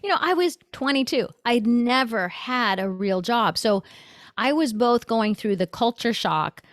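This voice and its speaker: A middle-aged woman, a consultant and author, answering interview questions in a storytelling way; she is animated and laughs easily. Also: fairly high pitch, a little slow, dry recording, studio quality.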